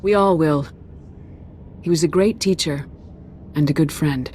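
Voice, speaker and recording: Game council head: lowish voice, dignified, gracious, formal authority. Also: female voice, unhurried, darkish tone, a little hiss or hum. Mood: solemn. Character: dignified, gracious female Jedi council leader. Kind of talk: video game acting.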